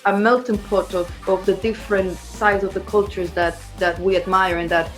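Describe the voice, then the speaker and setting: A woman's voice: mid-range voice, little pitch movement, normal speed, soft background music, low-quality recording. A woman chatting informally as an interviewed band member, in a loose, conversational way. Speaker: band singer and songwriter being interviewed. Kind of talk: casual chat.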